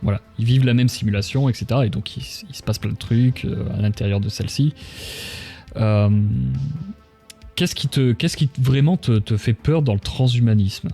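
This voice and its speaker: A man's voice: mid-range voice, moderate pace, dark tone, soft background music. A man hosting a casual live-stream interview in an informal, joking way. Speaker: interview host.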